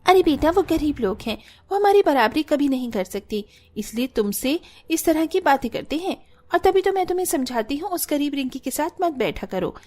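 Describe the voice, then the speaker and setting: Woman acting a rich cartoon mom, high voice, haughty and lazy. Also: lively intonation, normal speed, a little mellow. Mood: scornful scolding.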